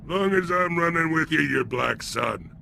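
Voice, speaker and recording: An actor playing a brutish, bloodthirsty alien gangster in a video game, with a rough voice and crude, sneering street-thug talk. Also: male voice, on the high side, animated, a little slow, pushed and bright, a little hiss or hum, heavily acted. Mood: smug, menacing. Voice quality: rough, gravelly. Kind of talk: video game character acting.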